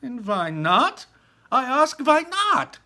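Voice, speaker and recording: A storybook mother, acted out by a man, frantic and indignant about her boy. The voice is pushed very high and swoops wildly. She screams and snaps in loud, forceful outrage. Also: huge pitch swings, slow pace, full character voice.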